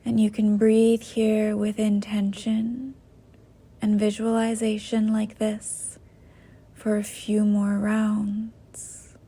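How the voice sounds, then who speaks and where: A woman guiding a yoga nidra meditation in a soft, breathy, half-whispered voice, gentle and unhurried with long pauses. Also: mid-range voice, completely flat delivery. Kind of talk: guided meditation, slow soothing instruction.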